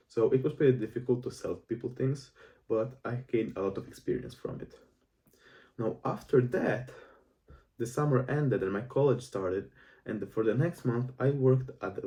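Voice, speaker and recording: A man's voice: lowish voice, mellow, distant mic. A nineteen-year-old man from Croatia with a Croatian accent, talking in an earnest, rambling way to his audience about the jobs he has worked. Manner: earnest, rambling with restarts and fillers. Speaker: self-improvement vlogger. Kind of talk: casual monologue to camera.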